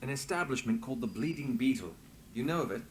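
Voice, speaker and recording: An actor playing a confident, dry-witted investigator in a fantasy video game, with a commanding, wry manner. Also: male voice, mid-range voice, animated, quick pace, a little hiss or hum, lightly acted. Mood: brisk, commanding. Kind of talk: dramatic video game dialogue.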